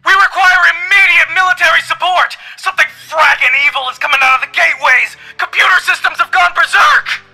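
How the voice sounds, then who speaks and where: A panicked space-base worker screams a frantic alarm. The voice is pushed very high and very loud, in sci-fi horror audio-drama style, with music behind. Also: male voice, fairly quick, few pauses, full character voice, poor audio. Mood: frantic, terrified.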